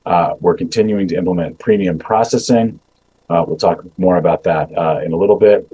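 A middle-aged man, an immigration agency official, giving measured remarks over a video call with many ums and uhs. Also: low-pitched, warm tone, slightly rough. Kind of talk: prepared remarks and Q&A answers. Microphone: video call webcam.

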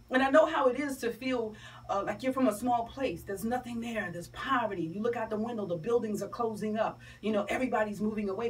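Distant-sounding Southern woman, emphatic radio guest. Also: medium pitch. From South Carolina, USA. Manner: animated, emphatic, colloquial. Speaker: interview guest, playwright and motivational speaker. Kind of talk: radio interview, promotional chat. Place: small radio studio, indoors. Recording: distant mic, clear background room noise.

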